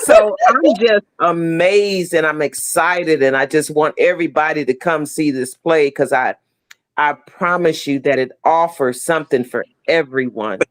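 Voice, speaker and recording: A woman's voice: sits low, a little slow. A middle-aged woman speaking casually and effusively as an interview guest on a video call, stressing her points. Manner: emphatic, effusive. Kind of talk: casual heartfelt interview talk.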